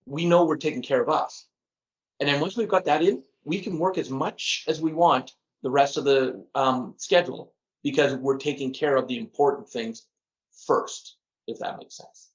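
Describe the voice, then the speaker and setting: A man's voice: mid-range voice, normal speed, highs cut off. A middle-aged man speaking earnestly as a podcast guest, often repeating words and laughing at himself. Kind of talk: casual interview chat.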